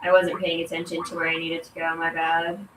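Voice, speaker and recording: A woman's voice: deep voice, monotone, brisk, noisy background. A congested woman streamer chatting casually while gaming, in a rambling, informal way full of "like". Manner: rambling, informal, filler-heavy "like". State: congested from allergies. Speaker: game streamer. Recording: echoey room, distant mic, loud music. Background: loud video game music.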